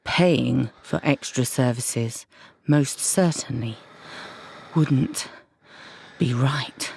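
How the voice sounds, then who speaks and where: A woman's voice: extremely low pitch, very slow, vocal fry, a little mellow, a little hiss or hum, heavily acted. A woman acting in an audio drama as a coy, seductive counsellor with a con artist's guile. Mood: coy, flustered.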